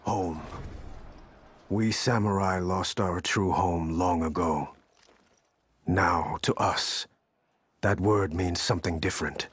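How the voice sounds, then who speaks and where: An actor playing a brooding, battle-weary samurai, narrating a game cutscene in a terse, grave manner. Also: male voice, deep voice, steady tone, slow pace, pauses often, husky, exaggerated voice. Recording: very loud music under voice.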